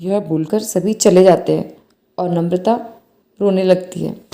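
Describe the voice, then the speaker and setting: A woman's voice: lowish voice, unhurried, gravelly, mellow, faint music behind. A woman narrating a scripted bedtime moral story.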